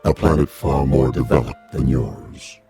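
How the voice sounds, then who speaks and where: An actor playing a towering, calm alien envoy who speaks in measured, formal address in a radio drama. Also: male voice, rumbling low, faint music behind. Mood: solemn.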